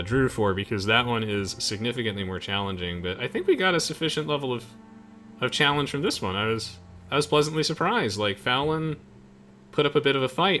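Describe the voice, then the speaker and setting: A man's voice: mid-range voice, pushed and bright. A young man hosting a gaming video, chatting casually and enthusiastically as he comments on the play. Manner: enthusiastic, gushing. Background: game music.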